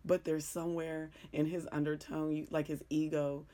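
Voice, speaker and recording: Deep-voiced raspy woman, middle-aged sports talk host, hoarse, frank and rambling. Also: level tone, normal speed, dark tone. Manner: frank, joking, rambling, with mild cursing. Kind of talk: casual sports talk monologue.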